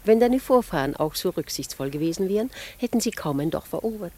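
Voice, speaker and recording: An actress playing an elegant upper-class wife with a poised, coolly ironic manner, in 1950s German radio drama style. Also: female voice, lowish voice, animated, fast, mellow. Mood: cool mockery.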